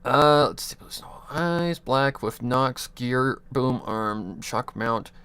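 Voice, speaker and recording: A man's voice: mid-range voice, slow, fairly bright tone, smooth, no echo. A young man casually chatting on a livestream, giving rambling advice with restarts, chuckles and offhand swearing into a USB desk mic. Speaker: streamer. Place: home computer desk.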